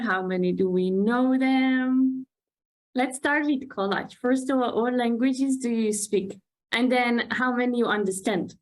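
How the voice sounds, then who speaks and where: Lady host, slow easy talker, kinda high voice, lots of giggles and questions. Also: a little mellow. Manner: laughs often, leads the talk with questions. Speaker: podcast host. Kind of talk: casual chat.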